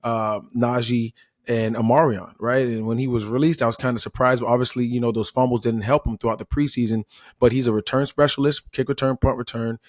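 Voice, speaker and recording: A man's voice: medium pitch, steady tone, a little fast, no room sound, clean studio sound. An American man, a former player, chatting as a sports analyst in punchy, repeated phrases. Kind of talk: casual sports analysis chat.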